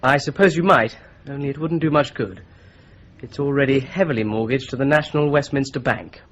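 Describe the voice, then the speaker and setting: An actor playing a methodical solicitor-detective who patiently lays out a fraud theory, in a radio drama. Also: male voice, mid-range voice, fairly quick, a bit bright, slight background noise, lightly acted. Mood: explaining. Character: Boone, shrewd young male solicitor-detective, patient explainer. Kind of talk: BBC-style radio drama acting. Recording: dull lo-fi radio play recording.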